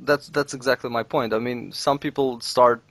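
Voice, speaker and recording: A man's voice: mid-range voice, fairly even intonation, normal speed, fairly bright tone, dry recording. Podcast host guy, blunt and emphatic, dry voice. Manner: blunt, emphatic advice-giving. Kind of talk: casual podcast chat.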